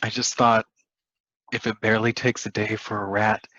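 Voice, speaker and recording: An actor playing a scheming, defensive husband in a dramatic stage play reading, dismissive and insistent, on a muffled microphone. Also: male voice, fairly low pitch, little pitch movement, moderate pace, bright, forceful tone, no echo. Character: scheming, defensive husband poisoning his wife.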